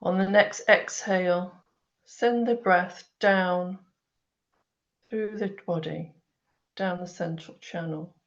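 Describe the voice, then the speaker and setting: A woman leading a grounding meditation with slow, soothing instructions. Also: lowish voice, fairly even intonation. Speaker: meditation guide. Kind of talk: guided meditation. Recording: dull lo-fi online audio.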